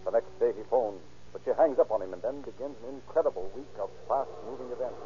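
Male narrator, theatrical, solemn, medium pitch, vintage radio style, tinny recording, faint music. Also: fast talker. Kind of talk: dramatic 1930s-40s radio narration.

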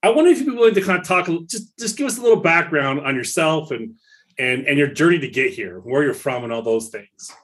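A man's voice: a little high, expressive, quick pace, a bit bright. A man co-hosting a podcast, enthusiastic with stumbling restarts as he asks questions. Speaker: podcast co-host. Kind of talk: podcast interview.

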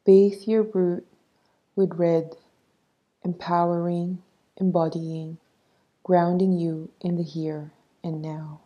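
A woman leading a guided meditation in a soft, breathy voice, soothing and measured as she gives instructions. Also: fairly low pitch, little pitch movement, highs cut off.

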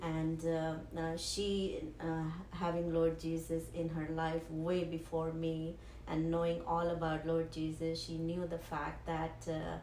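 A woman speaks low and slow, pausing often and restarting her sentences. Her voice carries the echo of a bare room. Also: completely flat delivery, darkish tone, slight background noise. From Punjab, India. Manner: halting, with frequent ums and restarts. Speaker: guest. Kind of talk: personal faith testimony. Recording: echoey room.

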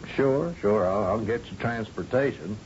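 An actor playing a folksy, jovially patronizing and evasive governor in an old-time radio drama. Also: male voice, fairly low pitch, normal speed, darkish tone, close to an everyday voice. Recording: dull, noisy old radio recording.

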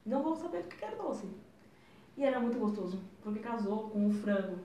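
A young woman, co-hosting a casual chat podcast, blunt and quick to swear. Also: a little high, expressive, dark tone, far from the mic, slight background noise. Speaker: podcast co-host. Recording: roomy, mic set back, faint ambience.

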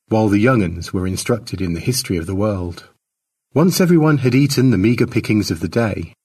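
Husky low-voiced man reading a story. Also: recorded from a distance. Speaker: author narrating own short story. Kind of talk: expressive audiobook narration.

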